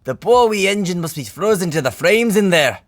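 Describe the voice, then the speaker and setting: Man doing a high-pitched excitable engine. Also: moderate pace, no echo. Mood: excited, amused. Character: excitable, kindly Scottish twin steam engines. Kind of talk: children's storybook character voice.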